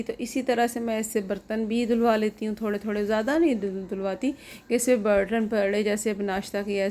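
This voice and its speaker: A woman's voice: mid-range voice, a little mellow, slight background noise. Middle-aged pakistani mom vlogging from her kitchen, sore throat, chatty and homely, talking to her viewers. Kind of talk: casual home vlog narration. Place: home kitchen.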